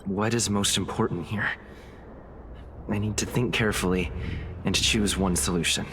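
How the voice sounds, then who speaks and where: An actor playing a thoughtful young adventurer in a video game, reasoning in a measured, reflective inner monologue. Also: male voice, sits low, steady tone, a bit bright, a little hiss or hum. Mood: pensive. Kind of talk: scripted video game narration. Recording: game music behind.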